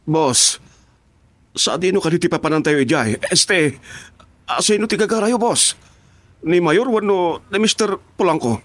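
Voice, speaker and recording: A man's voice: high-pitched, animated, measured pace, pauses often, no echo. An actor playing an eager, deferential henchman in a radio drama, with an Ilocano Filipino accent. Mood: deferential, questioning. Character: eager, deferential male henchman answering his boss.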